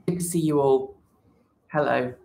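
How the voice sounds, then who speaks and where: A woman's voice: very deep voice, a little slow, vocal fry, dark tone. A woman hosting a casual livestream, talking to viewers with many fillers and restarts. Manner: chats with viewers, reads the chat. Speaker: livestream host.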